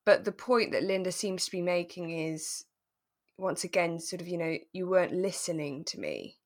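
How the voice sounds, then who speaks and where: A woman co-hosting a podcast in a relaxed conversational style, chatty and reflective, often ending on tag questions. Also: fairly low pitch, normal speed. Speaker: podcast co-host. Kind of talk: relaxed conversational drama recap.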